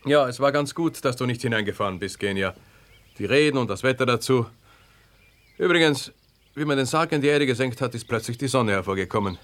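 A man's voice: medium pitch, expressive, fairly quick, a bit bright, lightly acted. An Austrian man playing a jealous, sardonic husband in a conversational 1970s radio drama style, probing and ironic. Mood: casual. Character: jealous husband, sardonic, smooth-talking, needling his wife.

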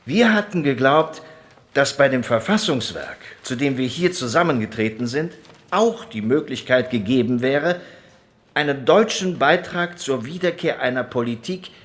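Actor doing postwar parliament man, formal grave speech, emphatic and really up and down in pitch. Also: animated, normal speed, close to an everyday voice. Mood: grave, regretful. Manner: rhetorical, emphatic. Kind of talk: formal parliamentary oratory in docudrama.

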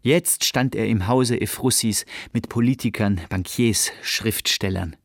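A man with a fairly low voice narrates a literary radio play. He takes it slowly, in a dry studio sound. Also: creaky, no echo.

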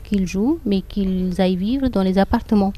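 Woman, from northern India, Hindi native speaker, warm dark tone, hesitant, fillers and restarts, outdoors. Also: medium pitch, moderate pace, a little hiss or hum. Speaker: guide and interpreter. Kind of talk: conversational explanation. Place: outdoor public gardens.

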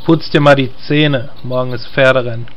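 An actor playing a calm man with sudden crude cursing fits in a comedy audio drama. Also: mid-range voice, moderate pace, natural voice. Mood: gruff. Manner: calm then crude outbursts.

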